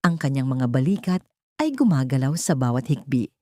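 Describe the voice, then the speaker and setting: Low, dry-voiced woman narrator. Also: sing-song, normal speed, darkish tone, no echo. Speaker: audiobook narrator. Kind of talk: scripted dramatic narration.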